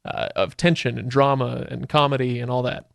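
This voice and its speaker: A man hosting a casual podcast discussion, talking conversationally as he thinks out loud. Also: medium pitch, fairly even intonation, normal speed, vocal fry, no echo, studio quality. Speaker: podcast host.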